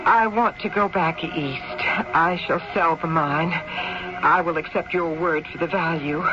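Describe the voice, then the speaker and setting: An actress playing an earnest, mysterious widow of the old West in a radio mystery drama, with a dramatic, halting delivery. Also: female voice, sits low. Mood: sombre, guarded. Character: mysterious frontier widow, earnest, clinging to her diamonds. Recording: dull old radio recording with music.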